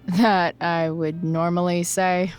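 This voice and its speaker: A woman's voice: lowish voice, flat delivery, slow, darkish tone, clear, resonant tone, faint music behind. A woman playing a sarcastic, rebellious teenage girl in a video game, with a dry, flippant, slangy way of talking. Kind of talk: video game cutscene acting.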